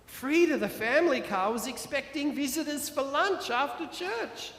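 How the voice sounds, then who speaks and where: A man reading a children's parable aloud to listeners in an animated, dramatic storytelling manner. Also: extremely high pitch, normal speed. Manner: dramatic, expressive character voices. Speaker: author reading his own children's parable. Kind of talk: animated storytelling to a church audience. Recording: echoey hall, long reverb.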